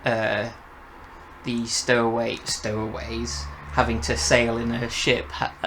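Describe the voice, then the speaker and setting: Man chatting through a review, mid-pitched. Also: completely flat delivery, takes it slowly, background noise. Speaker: podcast review host. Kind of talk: casual review discussion.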